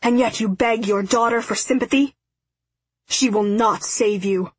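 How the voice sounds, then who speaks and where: A woman's voice: fairly high pitch, unhurried, breathy edge, no room sound. A woman voicing a stern, tormented young man who makes formal, menacing declarations in an audiobook story. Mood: stern anger. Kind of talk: audiobook character acting.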